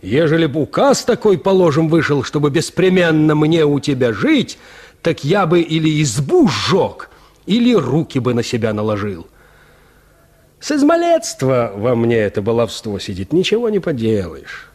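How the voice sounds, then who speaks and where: A man's voice: on the high side, big pitch swings, normal speed, a little mellow. Aloof, self-satisfied peasant huntsman, swooping intonation. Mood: dismissive, self-satisfied. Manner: nonchalant, condescending, folksy peasant speech. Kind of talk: Russian radio drama acting.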